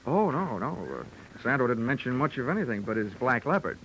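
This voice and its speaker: An actor playing a wry, wisecracking American insurance investigator who narrates a 1940s hardboiled radio drama. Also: male voice, medium pitch, very animated, brisk, fairly bright tone, slight background noise, low-quality recording. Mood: smooth, matter-of-fact. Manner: dry wit, wisecracking. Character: wry, tough male insurance investigator hero. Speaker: lead and narrator of detective show. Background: music.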